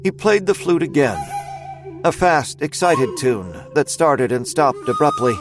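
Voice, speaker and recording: A man narrating a scripted dramatic story as its storyteller, in a measured, suspenseful manner. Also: a little high, expressive, over music.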